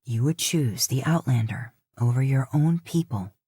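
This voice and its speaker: Young tribesman as a woman reads him, brooding, spurned, low voice, dry audiobook sound. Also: animated, a little slow, mellow, slightly rough, no echo, exaggerated voice. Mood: accusing. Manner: pleading then accusing.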